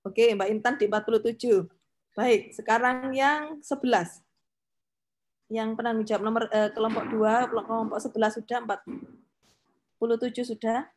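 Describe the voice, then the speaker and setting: A woman's voice: a little high, fairly even intonation, normal speed, pauses often. A woman runs an online meeting as a lecturer. She speaks informally, directing people and checking in with questions. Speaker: lecturer supervising a student group meeting.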